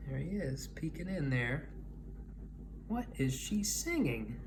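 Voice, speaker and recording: A male storyteller reads a picture book for children with very lively, wide-ranging intonation. His delivery is expressive, with clear noise in the background. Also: fairly high pitch, slow pace. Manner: animated, expressive.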